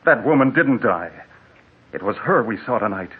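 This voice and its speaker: A man's voice: fairly low pitch, expressive, normal speed, husky. An actor playing a shaken traveler who insists urgently on what he saw, in melodramatic old-time radio horror style. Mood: alarmed, insistent. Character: shaken, insistent male traveler, spooked by a ghost. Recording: tinny, noisy vintage radio recording.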